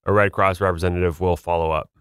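Even-voiced male host reading aloud. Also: low voice, clean tone, dry recording, clean studio sound. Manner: measured, reads aloud evenly. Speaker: radio host. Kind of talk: talk radio interview.

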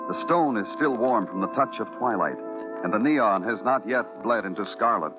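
A male actor plays a hardboiled, world-weary detective with a low voice. His delivery is brooding, clipped and deliberate, in vintage radio noir style on a narrow, muffled recording with prominent music. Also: animated, lightly acted. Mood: brooding, somber. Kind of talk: 1940s-50s radio noir narration.

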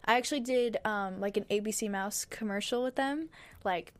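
A teenage girl, a young dancer, answering interview questions in a hesitant, soft-spoken way with frequent fillers. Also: mid-range voice, normal speed, no echo. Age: teens. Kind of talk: casual interview.

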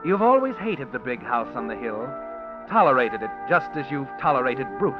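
A man's voice: medium pitch, big pitch swings, normal speed, close to an everyday voice. A man voicing a mysterious narrator in a 1940s radio drama, speaking knowingly to the listener in the second person. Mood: knowing, ominous. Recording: dull old radio recording with music.

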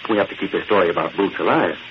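A man's voice: deep voice, rapid-fire, lightly acted. An actor playing a brash, mocking newspaperman in 1940s radio style, full of breezy sarcastic banter. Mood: wisecracking. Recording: muffled, faintly noisy old radio recording.

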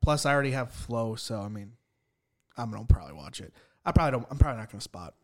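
A man co-hosting a podcast, talking casually with a dry, teasing deadpan. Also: medium pitch, fast. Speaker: podcast co-host. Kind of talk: casual chat.

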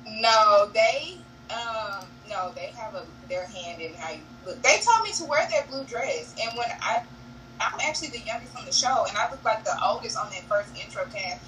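Young woman on a video call, kinda monotone but candid, slightly high, sounds far from the mic. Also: moderate pace. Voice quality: naturally monotone voice. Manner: candid, laughing often, says honey and y'all. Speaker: reality show cast member, guest. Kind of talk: casual interview chat. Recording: distant mic with faint noise.

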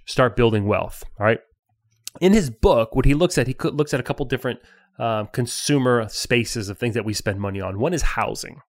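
A man co-hosting a personal finance podcast, relaxed and conversational, with a teasing streak. He explains ideas with lively jumps in emphasis. His voice sounds close and dry, with no room around it. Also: mid-range voice, dry recording. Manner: playful, teasing, animated. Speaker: podcast co-host. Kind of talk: casual financial podcast chat.

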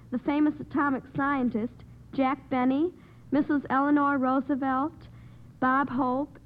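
A woman's voice: high voice, narrow, tinny audio. A young American woman reading a prepared report on 1950s radio, polished and enthusiastic. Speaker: quiz show panelist. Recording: thin vintage 1950 radio recording.

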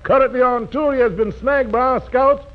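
A man's voice: extremely high pitch, steady tone, normal speed. A man hosting a 1940s radio show, announcing acts in jokey, flowery patter full of jive slang. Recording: dull 1940s radio recording.

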